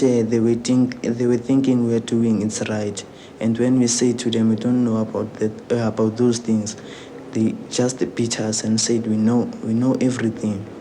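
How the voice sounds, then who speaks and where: A South African man answering as an interviewee in halting, plain-spoken testimony. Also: mid-range voice, little pitch movement, dark tone, a little hiss or hum, rough recording.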